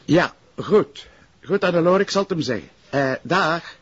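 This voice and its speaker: A man's voice: fairly high pitch, lively intonation, unhurried, a little hiss or hum. A man with a Flemish accent playing a dutiful, mild, slightly fussy police sergeant in naturalistic TV drama dialogue. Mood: harried. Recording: dull lo-fi TV soundtrack.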